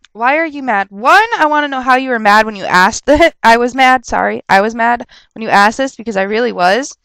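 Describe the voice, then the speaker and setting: A young woman rambling casually on a webcam vlog, chatty and quick to laugh and rant, her nose stuffed up from a cold. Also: fairly high pitch, gravelly, a bit bright, clean tone, no echo. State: stuffed up with a sore throat. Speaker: vlogger answering viewer questions.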